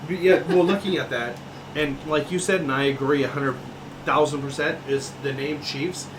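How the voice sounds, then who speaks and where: A man's voice: on the high side, steady tone, normal speed, background noise. A man co-hosting a casual sports podcast, ranting emphatically and swearing often. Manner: heated, swearing, emphatic rants. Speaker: podcast co-host.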